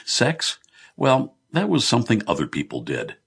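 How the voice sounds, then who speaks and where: A man's voice: mid-range voice, huge pitch swings, normal speed, gravelly, fairly bright tone, slightly rough, voice pushed up, full character voice. A man voicing a good-humoured, blunt middle-aged Irish businessman in an audiobook, breezy, teasing and confiding.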